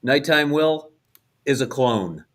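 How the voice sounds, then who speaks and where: A man's voice: mid-range voice, expressive, slow pace, fairly bright tone. A man chatting casually and jokingly as a guest on a radio show.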